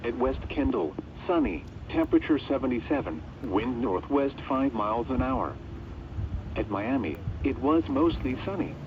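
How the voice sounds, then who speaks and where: A synthetic American man's voice reads a scripted weather bulletin over the radio in measured, impersonal, clipped phrases. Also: medium pitch, animated. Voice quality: synthetic text-to-speech voice. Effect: weather radio broadcast. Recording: muffled weather radio, clear background noise.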